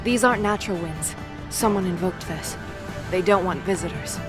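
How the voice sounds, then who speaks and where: A woman's voice: fairly low pitch, normal speed, music in the background, close to an everyday voice. A woman playing a stoic young seer and warrior goddess, wry, guarded and nonchalant, in an audio drama. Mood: wary.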